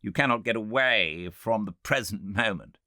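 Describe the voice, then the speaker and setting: A man's voice: lowish voice, expressive, normal speed, bright, forceful tone, no room sound, studio quality, voice pushed up. A man voicing a sceptical Victorian medical man with a British accent, arguing emphatically in an audiobook. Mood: insistent objection. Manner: argumentative, emphatic. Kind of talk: audiobook character dialogue.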